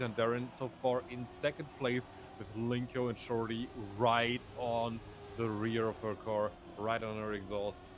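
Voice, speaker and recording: A man calls a racing game match as an esports play-by-play commentator. His pitch sits in the middle for a male voice. Clear ambient noise runs underneath his commentary.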